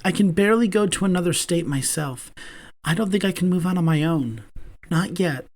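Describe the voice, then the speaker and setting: A man's voice: high-pitched, a little fast, voice pushed up. A man voicing a shy, tearful young man. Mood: tearful, hesitant. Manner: soft, hesitant, shaky.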